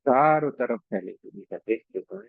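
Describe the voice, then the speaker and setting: A man leading a guided meditation as a reiki guide, speaking slowly and soothingly with pauses and repeated phrases. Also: mid-range voice, very animated, creaky, no echo, phone-quality sound. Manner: slow, soothing, repetitive instruction with frequent pauses.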